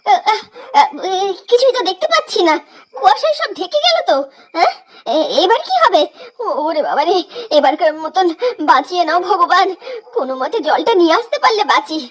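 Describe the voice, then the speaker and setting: Very high-pitched village housewife played by a woman, plaintive. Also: sing-song, brisk, fairly bright tone, full character voice. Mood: terrified, pleading. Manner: plaintive rustic village speech with exclamations. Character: weary, complaining village housewife, frightened and superstitious. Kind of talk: horror cartoon dialogue.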